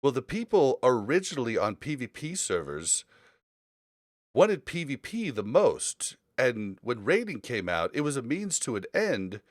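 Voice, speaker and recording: A man's voice: medium pitch, wildly swooping pitch, unhurried, no echo, clean studio sound. A man chatting casually on a gaming podcast, giving opinions in a rambling, storytelling way. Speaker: podcast host.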